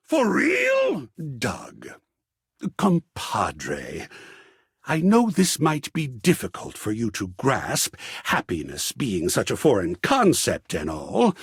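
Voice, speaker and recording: A man playing a flamboyant, passionate artist in a video game, speaking in a husky, theatrical and florid way. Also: a little high, dry recording, clean studio sound, heavily acted. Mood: smitten, pleading. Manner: theatrical, florid, effusive, swooping between hush and cries. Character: flamboyant, passionate artist, an art object come to life. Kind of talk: video game character acting.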